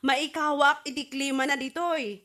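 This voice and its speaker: A woman's voice: high voice, fairly even intonation, normal speed, sharp tone. A woman acting a breezy, self-indulgent daughter home from abroad in an Ilocano radio comedy drama.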